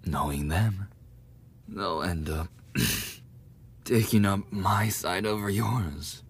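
Man acting a husky, breathy low-voiced delinquent bully. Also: exaggerated voice. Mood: smug, threatening. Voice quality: husky, breathy, often dropping to a whisper. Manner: halting, swearing, coughing between phrases. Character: sadistic delinquent school bully, lovesick, defensive and pathetic. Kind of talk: boyfriend ASMR audio roleplay.

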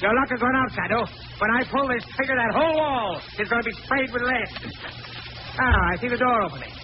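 A scheming gangster boss, with a high-pitched, taunting, tough-guy delivery. He talks like a killer in a 1940s radio thriller. The recording is muffled and hissy. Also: fairly quick. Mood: excited threat.

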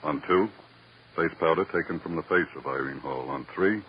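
An actor playing a sly, wheedling police lab technician in an old-time radio crime drama. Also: male voice, a bass voice. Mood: smug. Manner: wheedling, drawn-out teasing. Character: sly, needling male police lab technician, fond of favors. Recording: muffled, noisy vintage radio recording.

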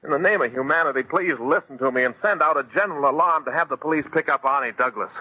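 A man's voice: a little high, fast, slightly rough. An actor playing an earnest, anxious man in 1940s radio drama, speaking with urgency. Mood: urgent. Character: earnest, anxious man consoling his companion. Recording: muffled, hissy old radio recording.

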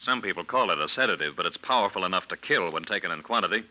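An actor playing a hard, sardonic interrogator who presses with clipped accusations, in 1940s American radio drama style on a muffled recording. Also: male voice, deep voice, lively intonation, rapid-fire. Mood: pressing, stern.

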